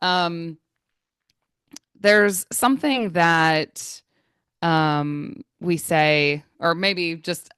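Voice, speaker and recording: American woman, English trainer; low, slow, smooth voice, dry close sound, measured and reflective. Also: fairly bright tone, dry recording. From United States. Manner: thoughtful, measured, with frequent fillers. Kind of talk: conversational interview answers. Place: Florida.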